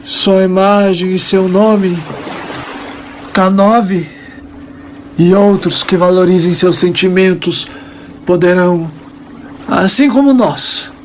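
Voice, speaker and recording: A woman voicing a devout small creature, telling its tale in solemn, reverent, dramatic narration with deliberate pauses. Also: fairly low pitch, a little hiss or hum, rough recording. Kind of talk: dramatic first-person scripted narration.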